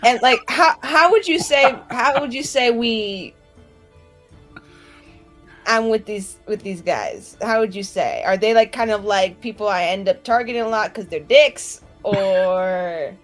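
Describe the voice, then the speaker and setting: A woman's voice: medium pitch, unhurried, soft background music. A woman playing a tabletop game, bantering and improvising in an informal, sweary way. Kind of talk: casual improvised banter and roleplay.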